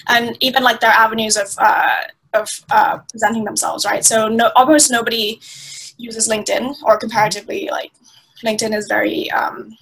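A young woman from Thailand speaks over a laptop webcam from a small indoor room. Her voice is bright and a little high. She answers in long, thoughtful runs full of fillers and restarts. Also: steady tone, normal speed, vocal fry, husky, low-quality recording. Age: 20s. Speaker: guest. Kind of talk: conversational interview answers. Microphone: laptop webcam on a video call.

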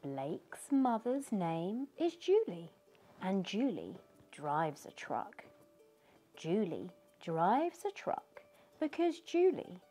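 A middle-aged British woman reads a children's picture book aloud, slow and unhurried, her voice rising and falling in a sing-song way. Her tone is mellow and gentle, and she pauses to ask her young listeners questions. Faint music plays behind her. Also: mid-range voice, huge pitch swings. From United Kingdom. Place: living room by a fireplace.

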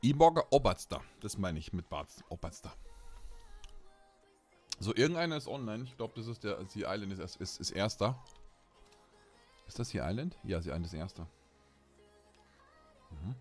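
A man's voice: low voice, lively intonation, normal speed, long pauses, soft background music. A man chatting casually on a gaming live stream, teasing and bantering playfully in crude, slangy language. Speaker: game streamer.

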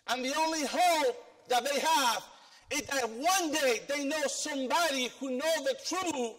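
A middle-aged man from the Philippines with a Filipino accent, preaching an animated sermon to a congregation and questioning them as he walks the stage. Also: high-pitched. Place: church sanctuary, indoors, with congregation. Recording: long church reverb.

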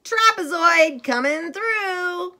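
A woman plays an eager little storybook shape who badly wants to be the star. The voice is high and bright, showy and insistent, with big swings in pitch. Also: animated, slow pace, full character voice. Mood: boastful. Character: pushy, boastful, eager trapezoid shape wanting stardom.

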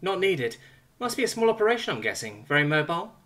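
A man voicing a defiant, sarcastic young woman held captive, raising his pitch and speaking quickly. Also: very animated, a bit bright. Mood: cocky.

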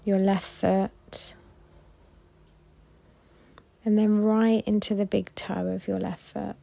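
A woman guiding a body scan meditation in a gentle, soothing, unhurried way with long pauses. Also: medium pitch, level tone, vocal fry. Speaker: meditation guide.

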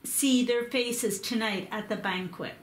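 A woman's voice: on the high side, measured pace, slight background noise, lightly acted. A woman voicing a commanding old king who gives orders to his guards. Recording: echoey room.

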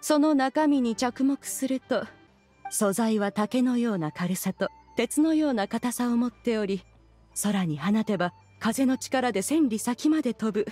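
Game role: proud immortal lady, imperious archaic diction, slightly husky, slightly high pitch, faint music behind. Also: lively intonation, a little slow, mellow. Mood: haughty. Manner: archaic, imperious, formal speech. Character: haughty ancient female immortal in human form. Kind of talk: video game voice acting.

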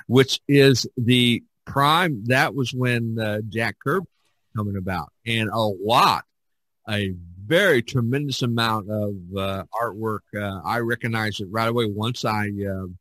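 A man hosting a casual podcast discussion as an artist, speaking haltingly with repeated false starts. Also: on the low side, fairly bright tone, dry recording.

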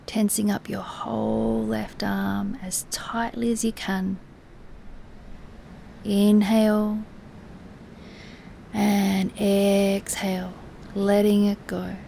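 A woman teaching guided relaxation in a soothing, soft, instructive way, with breathy, near-whispered moments and long pauses. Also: medium pitch, flat delivery, a little hiss or hum. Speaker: yoga nidra meditation teacher.

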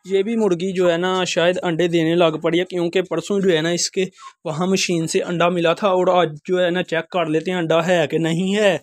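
A man's voice: high voice, a little mellow, no echo, studio quality. A young man, casually narrating chores in a village courtyard while filming himself on a phone held at arm's length. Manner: chatty, repeats filler phrases, addresses viewers as guys. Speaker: village life vlogger. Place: outdoor village courtyard with poultry.

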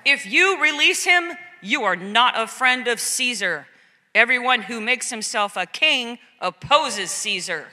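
A woman playing forceful, insistent Roman-era figures in a dramatic scripture reading, with emphatic, declamatory delivery. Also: on the high side, lively intonation, unhurried, full character voice. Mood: insistent, accusing. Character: Roman governor and clamoring crowd, forceful and insistent. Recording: long echoing hall reverb.

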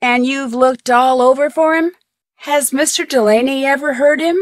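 Young female sleuth for adventure game, woman actor: inquisitive, polite, slightly high voice, dry studio sound. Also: moderate pace, no room sound, close to an everyday voice. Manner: inquisitive, clear-spoken questioning. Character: curious, polite young female amateur detective. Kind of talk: video game dialogue.

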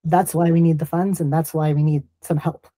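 Middle-aged man, very soft dark tone, dry sound, measured explainer, video call guest. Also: a little high, little pitch movement, dry recording. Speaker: guest, lighthouse organisation executive. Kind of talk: explanatory interview talk.

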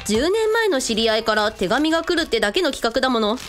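Woman playing an animated, high-voiced eldest sister. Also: fast talker. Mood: easygoing. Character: easygoing, brave-faced eldest sister, a musician. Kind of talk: radio drama acting.

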